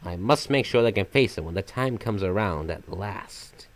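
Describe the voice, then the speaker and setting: A man's voice: lowish voice, animated, moderate pace, lightly acted. A man voicing a flamboyant magical trickster villain from a video game, half silly and half sinister, with florid, mock-polite theatrical delivery. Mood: scheming. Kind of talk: fan voice-over of video game villain lines.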